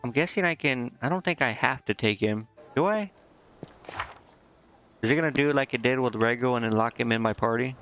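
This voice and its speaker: Man, game streamer, creaky voice, rambling and hesitant, thinking aloud, slightly dull mic, music behind. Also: medium pitch, a little fast, a bit bright. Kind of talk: casual gameplay commentary, thinking aloud.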